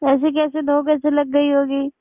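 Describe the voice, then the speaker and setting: A tinny phone recording carries a young woman's high voice. Her intonation stays flat and even. She is insistent and unflustered in a personal conversation. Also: a little slow, no room sound. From northern India. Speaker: phone caller. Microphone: telephone.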